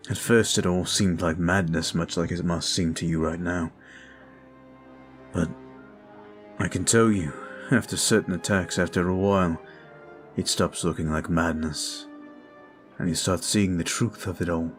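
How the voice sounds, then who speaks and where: A man voicing a brooding male vampire in an ASMR roleplay, talking in a slow, intimate, confiding way. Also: low voice, fairly even intonation, soft background music.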